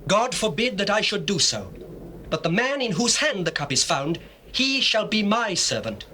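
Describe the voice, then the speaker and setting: An imperious governor of ancient Egypt in a scripture drama. The voice is high and ringing, with sweeping intonation, declaiming archaic lines as commands. It sits on a noisy old cassette recording. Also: male voice, big pitch swings, normal speed, bright, forceful tone, exaggerated voice. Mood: stern, imperious. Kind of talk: dramatized scripture, stage acting.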